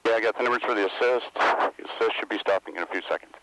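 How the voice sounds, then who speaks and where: A man serving as a shuttle astronaut, making calm, businesslike, clipped technical callouts over a crew intercom. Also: fairly low pitch, rapid-fire. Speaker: space shuttle crew astronaut on flight deck. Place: inside shuttle cockpit during launch. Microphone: crew helmet headset intercom. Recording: noisy cockpit intercom with clicks. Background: loud cockpit noise.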